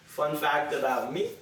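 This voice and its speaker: A young man from Prince Edward Island, giggling through a slow introduction. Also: fairly high pitch. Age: young adult. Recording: distant, echoey, noisy room.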